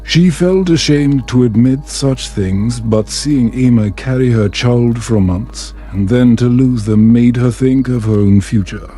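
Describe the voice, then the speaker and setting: A man giving a calm, soothing, measured narration of a bedtime tale. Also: mid-range voice. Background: background music.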